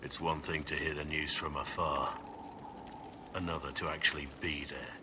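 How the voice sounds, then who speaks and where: Gruff old-campaigner soldier for a video game, really deep, earnest n measured, noisy background. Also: male voice, little pitch movement. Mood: regretful. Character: gruff, weary middle-aged male soldier, remorseful and resolute. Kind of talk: dramatic video game acting.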